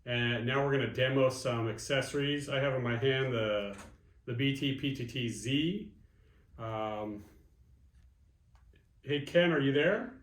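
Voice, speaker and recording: A man with a mid-pitched voice presents products for a promotional video. He speaks as a straightforward company presenter, explaining things plainly. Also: a little slow, pauses often. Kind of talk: scripted product narration, later casual demo chat.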